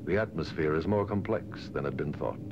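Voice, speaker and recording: A man reading scripted documentary narration in a measured, formal way, on a dated soundtrack. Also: extremely low pitch, background noise. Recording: dull, dated lo-fi film soundtrack. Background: music.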